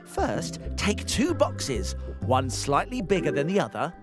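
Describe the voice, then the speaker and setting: A man, presenting crafts for young children in an excitable, exaggerated and clearly enunciated way. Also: on the high side, a little slow. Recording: music bed behind the voice. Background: children's show music.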